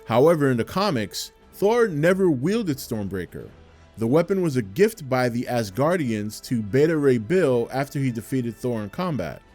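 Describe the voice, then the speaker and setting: Lively man narrating over music. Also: medium pitch, moderate pace. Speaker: video host. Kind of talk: scripted narration. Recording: music behind the voice.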